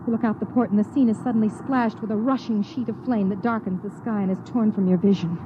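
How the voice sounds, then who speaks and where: An actress playing a lonely, anxious young wife in 1950s radio drama style, dramatic and deliberate. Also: female voice, medium pitch, steady tone, noisy background, lightly acted. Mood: tense, fearful. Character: lonely, anxious young wife narrating her own story. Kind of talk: 1950s radio drama, second-person narration. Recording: dull old radio recording.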